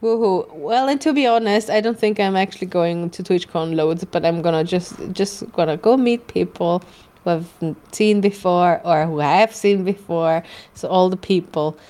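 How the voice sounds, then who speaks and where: An Austrian-accented woman co-hosts a relaxed podcast conversation. She wanders through her thoughts with many restarts and drops the occasional curse word. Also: on the low side, normal speed. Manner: rambling, frequent restarts and swearing. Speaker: podcast co-host. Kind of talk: casual chat.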